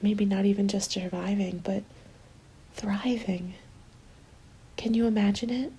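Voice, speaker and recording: Soft, mellow woman acting a hopeful apocalypse survivor. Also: mid-range voice, steady tone, a little slow, husky. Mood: hopeful, excited. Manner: soft-spoken, often slipping into whispers. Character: hopeful female zombie apocalypse survivor in a winter colony. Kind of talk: ASMR roleplay, intimate storytelling. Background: background music.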